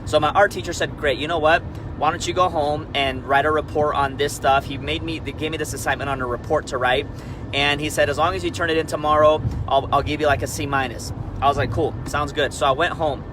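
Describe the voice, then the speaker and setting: A man's voice: a little high, fairly even intonation, brisk, background noise. A man in his thirties, originally from Peru, giving a candid, rambling motivational talk on a live stream about network marketing, often checking in with "right?". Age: 30s. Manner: candid, rambling, frequent restarts and "right?" asides.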